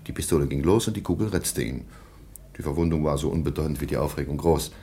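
An actor playing a stern middle-aged baron and guardian in a radio play, formal and disapproving. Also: male voice, rumbling low, fast talker, dark tone, a little hiss or hum.

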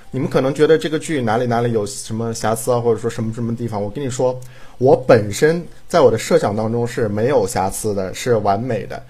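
A man's voice: mid-range voice, a little mellow. Guy director chatting casually, kinda rambling, emphatic, keeps tacking questions onto his sentences. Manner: emphatic, rambling, peppers talk with rhetorical tag questions. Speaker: audio drama director. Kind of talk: casual chat.

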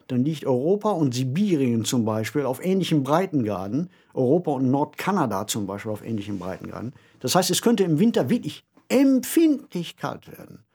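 Man explaining in measured, didactic tones. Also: mid-range voice, darkish tone, husky. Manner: measured, didactic, emphatic on key words. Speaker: expert discussion partner on a podcast. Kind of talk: conversational explanatory talk.

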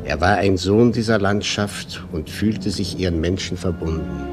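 A man narrating a radio play in a solemn, measured, literary style. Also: deep voice. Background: music.

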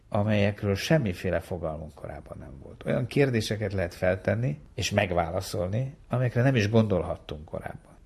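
A man's voice: low voice, gravelly, a little mellow, breathy edge. A man who is a physics professor, explaining his field in an interview.

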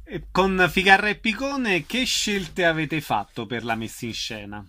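A man's voice: high-pitched, a little slow, sharp tone. A man working as an interviewer, putting short conversational questions to a guest.

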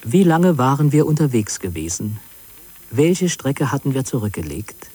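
Soft, breathy man narrating soberly. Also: mid-range voice, sing-song, dry recording. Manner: measured, sober, reflective. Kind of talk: scripted literary memoir narration.

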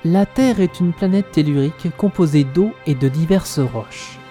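Man narrating, highish voice over music. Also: measured pace, mellow. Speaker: narrator and author. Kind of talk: scripted mock-documentary narration.

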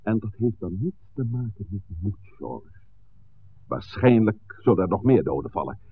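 A man acting a calm, authoritative village priest in a 1960s radio play, speaking deliberately and oratorically. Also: on the low side, gravelly, husky, heavily acted. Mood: urgent, stern. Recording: tinny, noisy 1960s radio recording.

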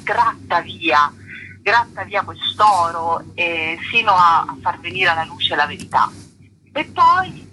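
Bright-voiced woman explaining artwork. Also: mid-range voice, a little hiss or hum, poor audio. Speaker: artist and painter interviewed about their work. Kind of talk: reflective interview explanation.

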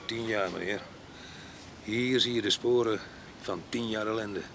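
Rough, domineering ex-con husband, jealous and mocking; low gravelly voice, radio drama, noisy recording. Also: male voice, a little slow, a bit bright. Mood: bitter storytelling. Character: rough, jealous ex-convict husband with a violent past.

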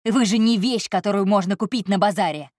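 A woman's voice: medium pitch, fairly quick, a little mellow, no room sound, clean studio sound, pitched above the performer's own voice, close to an everyday voice. A woman voicing a domineering, hot-tempered wealthy employer who speaks curtly and coldly. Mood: furious. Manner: curt, commanding, cold.

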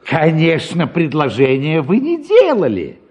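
Radio drama: middle-aged papa, excitable and blustering, higher-pitched, husky delivery. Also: male voice, measured pace, exaggerated voice. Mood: indignant. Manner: effusive, chuckling, blustering. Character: middle-aged father of seven daughters, fawning then indignant. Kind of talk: theatrical radio drama acting.

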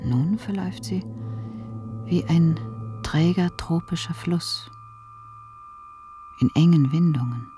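A low female voice narrates a radio drama in a mysterious, solemn tone. The poetic delivery is slow, breathy and half-whispered, with music clearly underneath.

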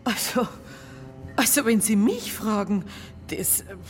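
A woman's voice: mid-range voice, slow pace, lightly acted. Woman doing a bavarian widow for radio drama, matter of fact, blunt, bit of music behind. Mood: matter-of-fact, scornful. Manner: earthy, unsentimental, colloquial dialect. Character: blunt, matter-of-fact widow of a murdered small-town man. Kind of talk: naturalistic radio drama acting.

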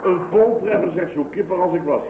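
A man's voice: high-pitched, brisk. Man telling tall tales on tinny old radio. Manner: rambling mock-pompous anecdote, dry delivery. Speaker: comic storyteller. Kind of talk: scripted radio comedy sketch. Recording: tinny hissy old radio broadcast, roomy.

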